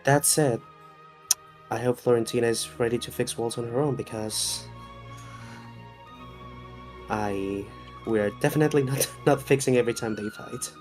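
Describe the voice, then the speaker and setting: A man playing a tabletop game, chatting casually in halting, hesitant phrases. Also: mid-range voice, darkish tone, faint music behind.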